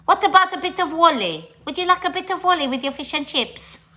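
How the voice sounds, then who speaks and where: A woman's voice: very high voice, animated, a little fast, pushed and bright, voice pushed up, exaggerated voice. A woman imitating a doting Greek Cypriot woman who ran a fish and chip shop, with a Greek Cypriot accent.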